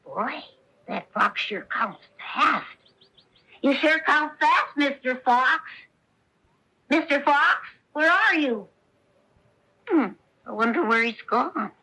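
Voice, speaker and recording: A high, bright little puppet boy for a 1970s radio play. He speaks slowly, with childlike earnestness and a gullible, trusting innocence. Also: animated, heavily acted, poor audio. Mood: cheerful, puzzled. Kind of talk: 1970s radio adventure theater acting.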